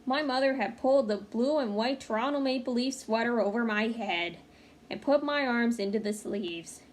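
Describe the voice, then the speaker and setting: A woman reading a children's picture book aloud in an expressive, engaged way, with small asides to her listeners. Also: a little high. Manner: expressive, pausing to comment to young listeners. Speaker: read-aloud storyteller. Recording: clearly noisy home recording.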